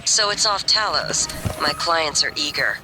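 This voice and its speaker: A woman's voice: low voice, animated, measured pace, over music, low-quality recording. A woman playing a cool, teasing smuggler in a video game, with a terse, patronising manner. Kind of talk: scripted game dialogue.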